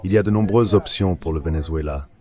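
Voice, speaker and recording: A man's voice: low voice, fast, mellow. A man giving spoken public remarks in short, blunt, repetitive sentences.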